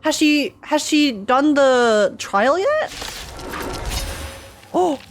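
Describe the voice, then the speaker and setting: High-voiced woman reacting to a game. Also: lively intonation, drawn-out words, background noise. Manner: emotional, giggly, fannish slang. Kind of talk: casual live game reaction commentary.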